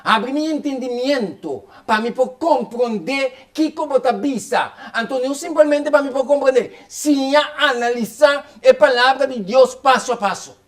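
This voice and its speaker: A man's voice: very high voice, normal speed, hardly any pauses. A man preacher delivering a fervent sermon, repeating phrases as he builds his point.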